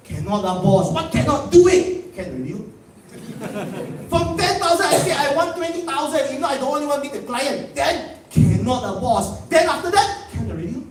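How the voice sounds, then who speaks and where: A man with a Malaysian English accent giving an animated, boastful motivational talk on stage at a convention. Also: very high-pitched, recorded from a distance. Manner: boastful, animated storytelling with laughs. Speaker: motivational speaker. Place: large convention hall. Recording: echoey hall picked up from afar.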